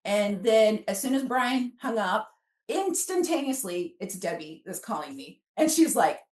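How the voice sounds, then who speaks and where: A woman's voice: medium pitch, a little slow. A middle-aged woman chatting casually as a podcast guest, telling her own story and acting out remembered dialogue. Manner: animated retelling with quoted dialogue. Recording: roomy, echoing room sound.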